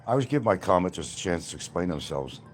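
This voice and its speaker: A man's voice: sits low, sing-song, quick pace, slight background noise. A man hosting a rambling livestream outdoors by a field, answering comments in a blunt, combative way. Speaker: livestream host.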